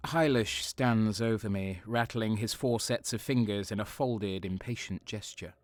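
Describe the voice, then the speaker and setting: A man's voice: lowish voice, unhurried, dry recording, close to an everyday voice. A man playing a bitter, hardened traitor soldier, narrating an audio drama in the first person with a grim, brooding manner. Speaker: narrator and protagonist.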